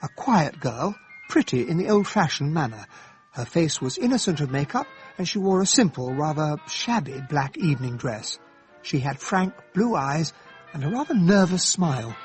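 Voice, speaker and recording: An actor playing an earnest English gentleman sidekick, well-spoken and descriptive, narrating and acting in a radio drama. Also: fairly high pitch, sing-song, moderate pace, faint music behind. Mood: reflective narration.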